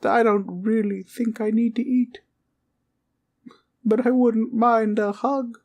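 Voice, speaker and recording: A sweet little living teddy bear for a comfort roleplay. The pitch sits far up high, cute and cartoonish. It chatters with innocent, bubbly politeness, like a small child. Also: male voice, little pitch movement, slow pace, darkish tone, exaggerated voice. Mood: sweet, affectionate.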